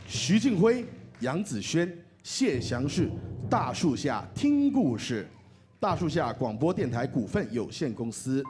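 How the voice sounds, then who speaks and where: A man's voice: fairly high pitch, a little slow, faint music behind. A man with a Taiwanese Mandarin accent co-presenting a ceremony as a host, in a playful, joking way. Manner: animated, joking. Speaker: radio host and actor co-announcing award nominations.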